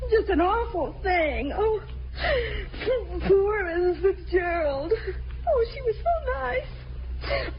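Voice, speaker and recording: Muffled 1950s radio crime drama: a distraught, talkative cleaning lady, high voice, rambling working-class speech. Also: slight background noise. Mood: tearful, horrified. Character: distraught, chatty cleaning woman who found a body.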